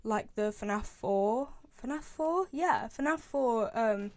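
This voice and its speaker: High-voiced young woman chatting about games. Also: lively intonation, slow pace. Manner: chatty, gushing, repeats phrases for emphasis. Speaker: video creator, game fan. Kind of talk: casual opinionated commentary.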